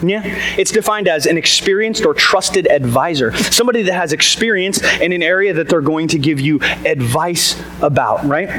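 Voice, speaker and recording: A man with a boomy voice giving an energetic, chatty sermon to a church congregation, asking the listeners questions. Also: moderate pace, a little hiss or hum, poor audio. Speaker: pastor. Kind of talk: casual conversational sermon. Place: church service before a congregation. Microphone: amplified mic on a sound system.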